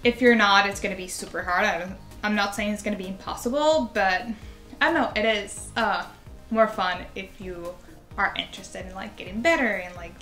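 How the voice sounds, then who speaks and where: A woman in her twenties with a Venezuelan Spanish accent rambles casually to camera, filling her talk with "like". Her voice is bright and clear. The room echoes a little, with faint music underneath. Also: medium pitch, moderate pace. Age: 20s. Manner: chatty, rambling, heavy use of "like". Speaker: vlogger.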